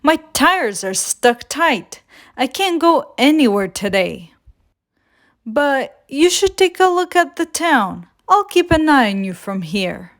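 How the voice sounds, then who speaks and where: A woman voicing a kindly, reassuring yellow truck in a children's storybook, explaining things plainly and gently. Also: high-pitched, very animated, slow pace, voice pushed up, heavily acted.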